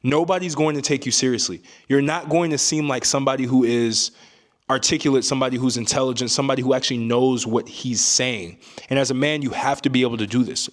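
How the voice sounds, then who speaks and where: A calm young man with a deep voice giving casual advice to camera, often ending points with a rhetorical "right?". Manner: calm, emphatic, frequent "right?" tags. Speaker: self-improvement YouTuber.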